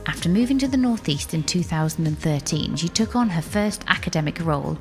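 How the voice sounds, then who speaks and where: A woman co-hosting a podcast in a studio, reading a guest introduction and chatting briskly. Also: low-pitched, lively intonation, soft background music. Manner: brisk, reads the guest bio then chats. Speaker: podcast co-host.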